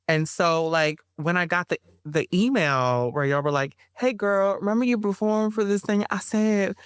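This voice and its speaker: Casting: poet and performer, reflective, slow pacing, pauses to find words, dry close mic. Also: female voice, lowish voice, smooth, no echo. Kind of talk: reflective interview talk.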